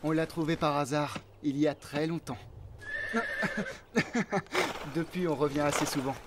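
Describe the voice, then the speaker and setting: A man's voice: fairly high pitch, fairly even intonation, measured pace, very noisy, lightly acted. A man voicing a friendly, responsible teenage ranch boy in a children's cartoon. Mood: cheerful, relaxed. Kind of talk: animated children's series dub.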